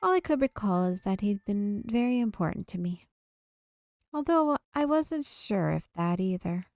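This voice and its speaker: Female game streamer, expressive story reader, casual commentary, music in the background. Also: mid-range voice, moderate pace, dry recording. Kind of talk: reading visual novel text aloud with casual asides.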